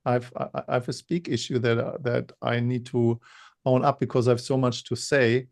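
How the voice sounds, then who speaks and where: A man co-hosting a webinar, talking in a halting way with many repeated words; he says he stutters when insecure. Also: mid-range voice, flat delivery, vocal fry. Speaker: webinar co-host. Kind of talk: casual webinar talk.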